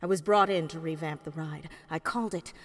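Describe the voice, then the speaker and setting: A woman's voice: deep voice, brisk, long reverb tail. A woman playing a showy, swaggering engineer and designer in a comedy audio drama. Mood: boastful. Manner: swaggering, playful. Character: cocky, showy female engineer and theme-park designer, doctor.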